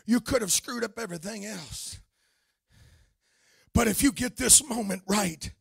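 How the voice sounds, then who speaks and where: A middle-aged American man preaching an impassioned church sermon in a forceful, emphatic manner, building his point through repeated phrases. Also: high-pitched, a little slow, creaky, slightly rough, no room sound, studio quality. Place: indoor church meeting room, at a pulpit. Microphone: handheld microphone held close.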